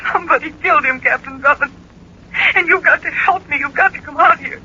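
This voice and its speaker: A woman's voice: high-pitched, fairly quick, pushed and bright. An earnest, urgent young woman, a distraught daughter in a 1940s mystery drama. The recording is muffled and narrow, with a light hiss. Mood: distraught, pleading. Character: distraught grown daughter of a murdered amusement park owner. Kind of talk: 1940s radio mystery acting. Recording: muffled, hissy 1940s radio broadcast recording.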